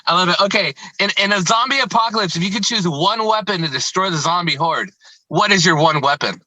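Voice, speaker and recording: A man's voice: sits high, a little fast, pushed and bright, no room sound, poor audio. A man hosting a music interview in casual, slangy chat, enthusiastic and often repeating himself. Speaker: music show host interviewing.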